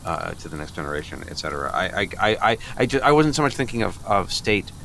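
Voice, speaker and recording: An actor in an improvised comedy radio play playing a flustered, over-apologetic boyfriend who stammers, agrees readily and repeats himself. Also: male voice, low-pitched, very animated, fast, creaky, sharp tone, slight background noise, exaggerated voice. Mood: anxious backpedaling.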